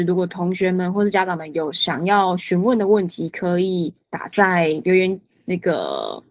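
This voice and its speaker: Lowish-voiced woman hosting, dry sound. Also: no room sound. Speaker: host of online information session. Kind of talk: informal event introduction.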